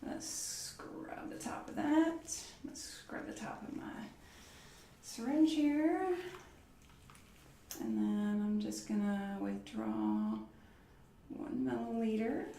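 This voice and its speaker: Casting: woman, unhurried and softly spoken, reassuring ASMR doctor roleplay, roomy noisy recording. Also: a little high, sing-song, vocal fry, far from the mic. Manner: soft-spoken, reassuring, murmured step-by-step instructions. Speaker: ASMR performer playing an orthopedist. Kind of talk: ASMR medical roleplay, one-on-one personal attention. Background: clicks and handling sounds of props.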